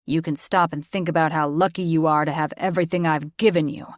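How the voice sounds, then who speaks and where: A woman's voice: deep voice, steady tone, fairly quick, no echo, studio quality, lightly acted. A woman voicing a menacing, controlling father. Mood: angry, accusing. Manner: menacing, accusing, clipped. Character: cold, controlling, furious middle-aged father. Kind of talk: audiobook character voice.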